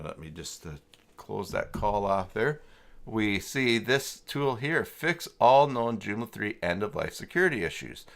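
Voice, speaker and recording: Low-voiced man hosting a casual tech stream. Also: vocal fry, a bit bright. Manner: halting, informal, thinking aloud. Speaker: livestream host demonstrating website tools. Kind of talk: casual live software tutorial, chatting with viewers.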